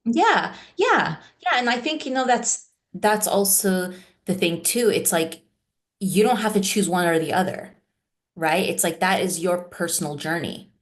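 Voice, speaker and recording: A woman's voice: lowish voice, expressive, a little slow. A woman therapist raised in the United States, talking reflectively in an interview, with many "you know" and "right?" fillers. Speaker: therapist guest.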